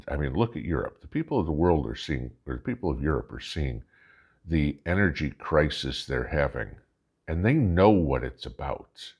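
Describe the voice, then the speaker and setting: American male vlog host, folksy and emphatic political monologue, husky voice with big pitch swings. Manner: folksy, emphatic, halting, with rhetorical questions. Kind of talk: casual political commentary monologue.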